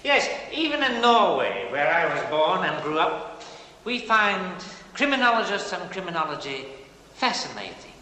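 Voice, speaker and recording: A grandiose sect leader who talks in pious, theatrical swoops, his bright, lively voice rising and falling. He sits a touch high for a man. The sound carries an echoey, hall-like reverb with faint hiss, like an old TV drama set. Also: heavily acted. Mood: smooth. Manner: pious, ingratiating, theatrical pauses. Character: smooth, grandiose Norwegian-born male sect leader. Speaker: cult leader. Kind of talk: 1960s television drama acting.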